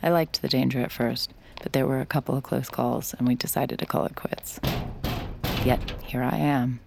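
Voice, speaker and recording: Wry, creaky-voiced woman, a weary cynical gambler in an audio drama, faint ambient noise. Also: very deep voice, fairly even intonation, moderate pace, darkish tone. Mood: tense, confessional. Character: Sid, cynical gambling mind reader, narrating her own story. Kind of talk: audio drama dialogue and confessional first-person narration.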